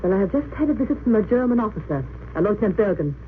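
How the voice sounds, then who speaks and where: A woman's voice: mid-range voice, big pitch swings, racing, lightly acted. A woman playing a principled English wartime nurse in an old radio drama, dignified, formal and earnest. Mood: resolute. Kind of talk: 1930s-40s radio drama acting. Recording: tinny, hissy old radio recording.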